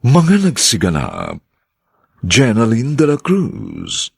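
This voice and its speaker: A man's voice: mid-range voice, wildly swooping pitch, slow, vocal fry, slightly rough, dry recording. A man narrating and announcing a radio drama in a solemn, formal, theatrical style.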